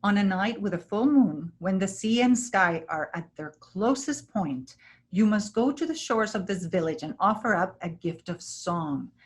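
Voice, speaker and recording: A woman's voice: medium pitch, animated, moderate pace, lightly acted. A woman playing a breezy, self-assured village elder in a staged play reading, dispensing prophecy like a storyteller. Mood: solemn, assured.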